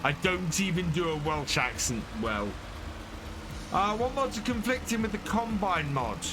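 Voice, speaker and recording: A man's voice: high voice, animated, a little slow, noisy background. A man casually chatting with his live chat while playing a farming game, thanking followers and answering questions as he plays. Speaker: streamer. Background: game music.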